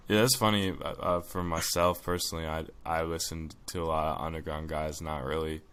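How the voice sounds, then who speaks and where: Deep-voiced young man asking questions. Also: fairly quick, clean tone. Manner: relaxed, curious questioning. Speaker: interviewer. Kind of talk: casual interview.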